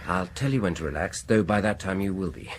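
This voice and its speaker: An actor playing a probing, falsely polite British dentist in a radio horror drama, with a husky voice and an insinuating, deliberate manner. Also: male voice, low voice, a little hiss or hum, close to an everyday voice. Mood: quietly probing. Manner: deliberate, insinuating, falsely soothing, chuckling at his own remarks.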